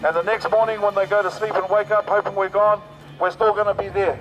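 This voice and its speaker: Hoarse New man on a megaphone. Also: sits high, little pitch movement, quick pace, a bit bright, dull audio. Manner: rousing, repetitive, with fillers. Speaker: protest march speaker. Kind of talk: outdoor rally speech through a loudspeaker. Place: outdoors on a city street.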